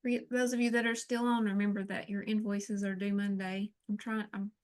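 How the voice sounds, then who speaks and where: A hoarse woman hosting an online teacher meeting over a video call, apologetic and often clearing her throat. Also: mid-range voice, flat delivery, brisk, darkish tone. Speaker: host of a teacher training session. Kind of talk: casual online meeting chat.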